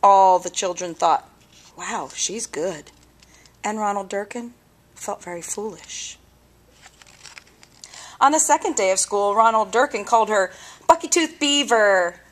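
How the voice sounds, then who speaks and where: A woman reading a children's story aloud with deliberate, expressive phrasing and dramatic pauses. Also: mid-range voice. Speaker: storybook reader.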